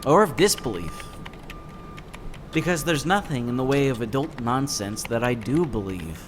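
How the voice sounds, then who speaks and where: A man's voice: mid-range voice, lively intonation, normal speed, noisy background, lightly acted. A man playing a gloomy, sardonic teenage boy in a comic dub, with a dry, jaded, matter-of-fact delivery. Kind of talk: scripted comic-dub acting, first-person narration and dialogue.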